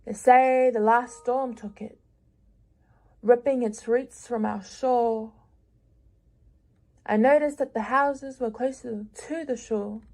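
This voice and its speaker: A young woman reads her own lyrical writing aloud, taking her time with each phrase. Her voice sits somewhat high, and her delivery is unhurried, measured and reflective, with long pauses between lines. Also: fairly even intonation, warm tone. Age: young adult. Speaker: prize-winning young writer.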